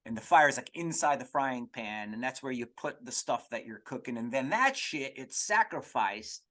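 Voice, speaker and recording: A man hosting a comedy podcast, rambling through an irreverent, profane monologue in a halting, digressive way. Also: mid-range voice, lively intonation, bright, forceful tone. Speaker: podcast host.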